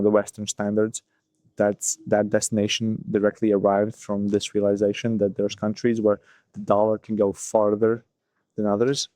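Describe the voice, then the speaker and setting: A man in his twenties talking through his thoughts in a loose, unscripted solo monologue, often pausing on "like" and "you know". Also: on the low side, darkish tone, no room sound. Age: 20s. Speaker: vlogger.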